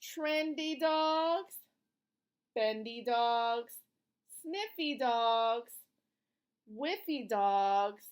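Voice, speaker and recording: A woman's voice: fairly high pitch, animated, extremely slow, long pauses, smooth. A woman reading a picture book aloud to young children in an encouraging, sing-song teacher's manner, asking questions and drawing out short phrases.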